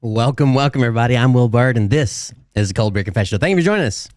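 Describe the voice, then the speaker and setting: A man hosting his own live show, chatting casually and rambling, his voice hoarse and fading from a sore throat. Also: mid-range voice, racing, darkish tone, dry recording, studio quality. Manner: rambling, self-mocking. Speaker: host. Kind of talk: casual live-stream talk.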